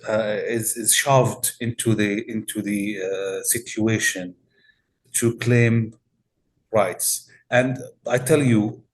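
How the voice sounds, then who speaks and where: A male pastor from Palestine with a Palestinian Arabic accent, answering interview questions in a measured, deliberate way with many pauses and fillers. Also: on the low side.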